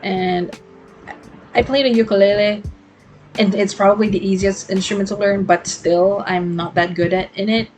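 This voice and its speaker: A woman's voice: medium pitch, steady tone, normal speed, a little mellow, soft background music. A young woman, hosting a casual solo podcast, talking in a rambling, reflective way with many fillers and self-corrections. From Philippines. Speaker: podcast host.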